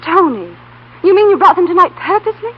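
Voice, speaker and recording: A woman's voice: extremely high pitch, sing-song, fast talker. A woman playing an earnest, anxious young woman in a 1930s radio comedy. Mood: hurt. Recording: dull, faintly noisy 1930s radio recording.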